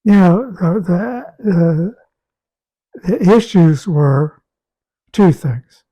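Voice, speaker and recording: A man with a raspy, hoarse voice talking casually about his health, halting and repeating words as he goes. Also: high-pitched, animated, dark tone, rough recording. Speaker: guest sharing a health update. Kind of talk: casual conversation.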